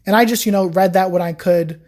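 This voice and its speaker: Young woman, chatty, casual interview talk, mid-pitched, storytelling. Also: brisk. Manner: chatty, animated storytelling with frequent "like". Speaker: podcast guest, Chinese learner.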